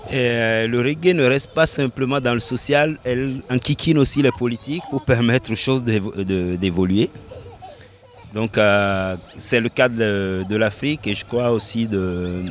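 A man from Benin answering interview questions about his music, unhurried, pausing often and laughing lightly between thoughts. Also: mid-range voice. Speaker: reggae musician.

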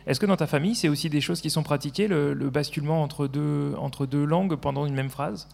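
A man hosts a live radio talk, easing in slowly with plenty of hesitations. His voice has a gravelly, creaky edge. The sound carries a long, echoey reverb. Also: on the high side, a little mellow. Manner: hesitant, many filler sounds. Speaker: radio host.

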